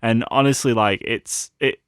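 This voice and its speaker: A man's voice: mid-range voice, normal speed, fairly bright tone, clear, resonant tone, no echo, studio quality. A man co-hosting a podcast, chatting casually about films. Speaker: podcast co-host. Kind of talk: casual film chat.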